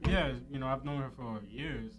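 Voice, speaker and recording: A man's voice: mid-range voice, moderate pace, faint music behind, low-quality recording. A man speaking as a radio show guest in casual conversation, easygoing and agreeable, feeling his way through his phrases. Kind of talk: casual chat.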